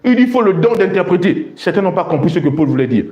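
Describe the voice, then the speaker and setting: High-pitched male preacher in an echoey room. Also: sing-song, fast talker, dark tone. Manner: emphatic, repeats phrases for stress. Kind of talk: sermon with scripture read aloud and explained.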